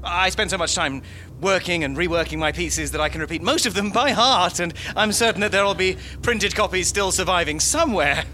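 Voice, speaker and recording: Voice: medium pitch, a little fast, sharp tone, lightly acted. An actor playing a pompous, self-important male writer who boasts and stammers in a live comedy audio drama. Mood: flustered. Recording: noisy live stage recording.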